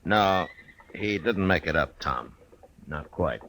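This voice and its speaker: An actor playing a weary, watchful Old West lawman in a 1950s radio western, plain-spoken and measured, with an American accent. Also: low-pitched, lively intonation, creaky, a bit bright, a little hiss or hum, exaggerated voice. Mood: grave. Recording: old radio recording with music.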